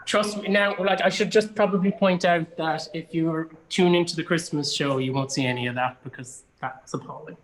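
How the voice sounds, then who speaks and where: A man's voice: on the high side, steady tone, moderate pace, hardly any pauses, a bit bright, faint music behind, rough recording. A man with an Irish accent chatting casually and enthusiastically as a guest in an online conversation, speaking from a studio. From Cork, Ireland. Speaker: guest, actor and theatre producer. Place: indoors in a studio.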